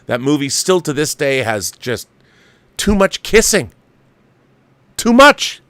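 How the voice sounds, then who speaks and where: A man's voice: a little high, sing-song, slow pace, fairly bright tone, no room sound. An older man hosting a movie talk show, reading viewer mail aloud and riffing on it in an opinionated, emphatic, conversational way.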